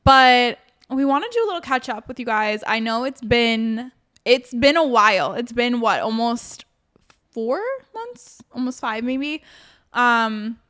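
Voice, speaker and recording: A woman's voice: on the high side, lively intonation, unhurried, fairly bright tone. A woman co-hosting a podcast in casual chat, talking earnestly in long flowing sentences. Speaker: podcast co-host.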